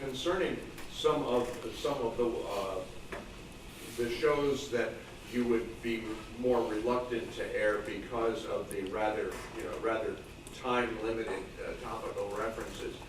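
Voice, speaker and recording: A man's voice: fairly low pitch, fairly even intonation, unhurried. A man in the audience putting a question informally, in careful, hesitant phrasing, from across a meeting room. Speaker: audience member asking a question. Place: club meeting room, far from mic. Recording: distant echoey room with clear noise.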